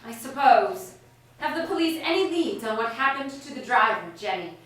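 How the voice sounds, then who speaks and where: High, echoing troubled heroine played by a woman. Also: lively intonation, moderate pace, a bit bright. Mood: troubled, brooding. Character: troubled, brooding woman leading a crime-fighting team. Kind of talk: live radio theatre acting. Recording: very echoey, distant stage pickup.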